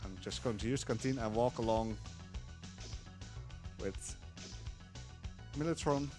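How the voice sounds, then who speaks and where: Casting: easygoing man commentating a game run, mid-pitched, faint music under him. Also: unhurried. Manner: relaxed, chuckling, explanatory. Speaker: speedrunner. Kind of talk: casual live gameplay commentary.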